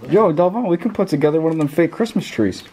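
A man's voice: fairly high pitch, animated, quick pace, mellow. A young man in casual, slangy, joking chat while exploring indoors, picked up by a handheld camera. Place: inside a derelict building. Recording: noisy handheld camera audio.

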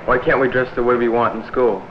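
A man's voice: medium pitch, brisk, a crowd in the background, close to an everyday voice. A man playing a frustrated teenage schoolboy, complaining in an educational film. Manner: flat, complaining. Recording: muffled, noisy 1960s film sound.

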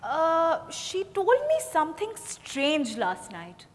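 Woman as sharp young businesswoman, high voice, Indian accent, suspicious, stage drama, echoey hall. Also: huge pitch swings, takes it slowly, heavily acted. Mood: probing. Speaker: actor. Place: large hotel ballroom stage, indoors.

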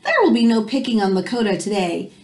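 A woman in her thirties reads a picture book aloud to children in an expressive, teacherly way, pausing to explain things. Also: medium pitch. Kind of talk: read-aloud storytelling with explanatory asides for children. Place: small room indoors at home. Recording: home recording with background noise.

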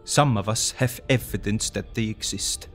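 An actor playing a grave, courteous foreign professor who hunts vampires, speaking formally and solemnly in an audio drama. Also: male voice, fairly low pitch, normal speed, a bit bright, faint music behind. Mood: grave lecturing. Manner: formal, solemn, quaintly phrased.

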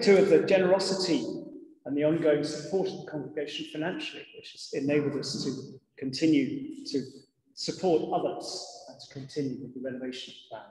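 A middle-aged man preaches as a vicar, his voice fairly high for a man. He speaks in a measured, reflective way with deliberate pauses. The sound comes from a lectern mic in a large church, with a long reverb around it. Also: darkish tone. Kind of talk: sermon to a congregation. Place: large church interior, indoors. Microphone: lectern gooseneck microphone.

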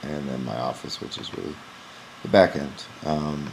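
A young man who runs a gym, walking through a software screen recording in a casual, explanatory way with frequent "um" pauses, recorded on a webcam at his desk. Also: rumbling low, fairly even intonation, gravelly, noisy background. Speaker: gym owner demonstrating software. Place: small indoor room at a computer. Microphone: webcam in front of the face.

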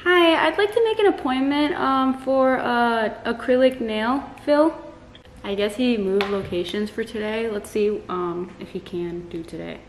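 A woman's voice: on the high side, unhurried, reverberant, slight background noise, rough recording. A young woman in her twenties chatting casually and bubbly in a home vlog, picked up on a camera mic across the room. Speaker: vlogger. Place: bedroom and living room at home.

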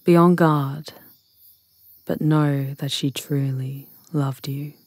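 A woman voicing an ancient, wry and wise witch in a fantasy ASMR roleplay, with measured, intimate, theatrical delivery. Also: deep voice, fairly even intonation, darkish tone, no room sound. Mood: gentle warning.

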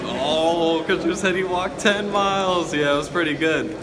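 A man's voice: fairly high pitch, moderate pace. Young man vlogging into his phone in the street. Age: young adult. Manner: upbeat, chatty, addresses viewers directly. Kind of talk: casual travel vlog narration. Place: streets and shops of a city, often outdoors in rain. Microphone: phone camera at arm's length. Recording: phone audio with loud street noise.

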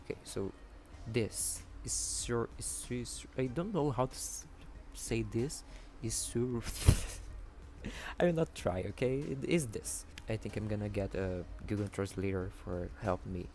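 A Brazilian man with a Portuguese accent, a native Portuguese speaker, giving casual gameplay commentary in halting English and searching for words. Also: on the low side, expressive, darkish tone, slight background noise. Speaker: gaming channel host.

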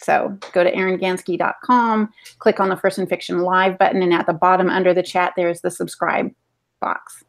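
A woman's voice: on the low side, level tone, fairly quick, gravelly. A woman in her forties co-hosting a podcast, chatting casually and giving practical information. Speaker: podcast co-host. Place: home room, indoors.

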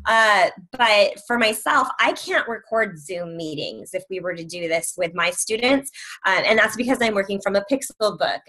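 A woman's voice: lowish voice, sharp tone, rough recording. An upbeat woman chatting casually as she moderates a session on a video call. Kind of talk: casual chat.

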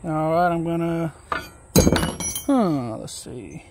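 A man casually walking through an assembly job outdoors, reading steps aloud and thinking out loud in a relaxed, folksy way, recorded on a phone camera held close. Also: a little high, slow, very noisy. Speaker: DIY video host. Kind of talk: casual step-by-step assembly walkthrough. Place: outdoors on a wooden deck.

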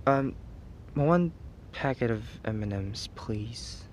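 A man voicing a caring but teasing teenage boy best friend in an ASMR roleplay, hesitant and soft-hearted while feigning reluctance. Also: medium pitch, expressive, takes it slowly, a little hiss or hum. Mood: gently concerned.

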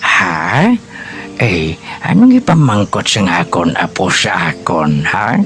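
A man's voice: sits low, very animated, slow, a bit bright, faint music behind, heavily acted. A man with a Hiligaynon accent playing a kindly elderly grandfather in a radio drama, soothing his grandson with hesitant, eh-filled speech. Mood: gentle, reassuring. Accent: Hiligaynon (Western Visayas). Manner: hesitant, filling speech with repeated "eh".

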